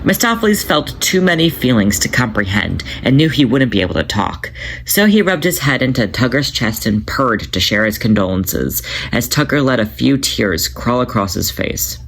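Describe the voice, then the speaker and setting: A man reading a fan fiction story aloud, in an expressive storytelling manner. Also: medium pitch, moderate pace, creaky, breathy edge. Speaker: podfic reader. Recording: noisy home recording.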